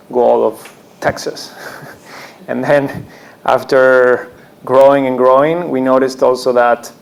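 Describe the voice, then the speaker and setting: A man who founded a company, answering an audience question on a panel with a halting manner, often restarting his sentences. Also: mid-range voice, vocal fry. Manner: halting, many restarts, soft laughs. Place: conference room, before an audience.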